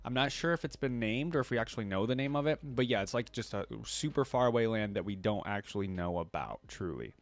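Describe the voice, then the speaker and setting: A man's voice: lowish voice, lively intonation, fast talker, hardly any pauses, no echo. A man hosting a reaction video in a casual style, reading lore aloud and adding conversational asides of his own. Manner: conversational asides on what he reads.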